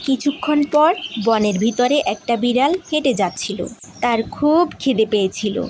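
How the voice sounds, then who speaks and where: A woman's voice: fairly high pitch, unhurried, darkish tone, a little hiss or hum. A woman narrating a scripted children's story.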